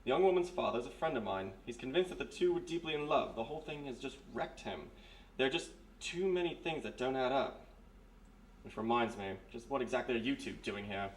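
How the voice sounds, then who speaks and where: A man's voice: mid-range voice, a little fast, natural voice. A man playing a stern, dry army major with clipped, formal speech in a live radio mystery. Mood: dry, disapproving. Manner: clipped, formal, matter-of-fact. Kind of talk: live old-time radio mystery acting. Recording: roomy live stage, distant mic.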